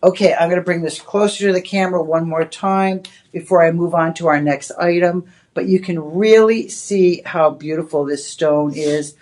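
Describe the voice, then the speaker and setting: A woman's voice: lowish voice, steady tone, moderate pace, hardly any pauses, darkish tone. Boston-accented woman, shopping channel host, loose chatty on-air patter. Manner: chatty, rambling, with many "you know"s. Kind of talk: live on-air sales pitch. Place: TV shopping studio.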